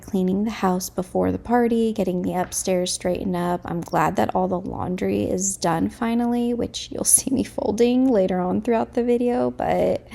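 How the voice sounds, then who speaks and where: Woman, 30s, home vlogger. Casual, open chat to camera. Slightly stuffed up, with a rough, creaky edge to the voice. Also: medium pitch, dark tone. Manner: candid and chatty, thinking aloud.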